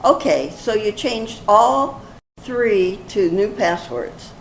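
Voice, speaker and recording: Expressive older woman, acted in echoey room. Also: mid-range voice, slow pace. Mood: inquisitive. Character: earnest older woman, apologetic, increasingly exasperated in comic sketches. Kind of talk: amateur readers theater comedy. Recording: echoey room, faint background noise.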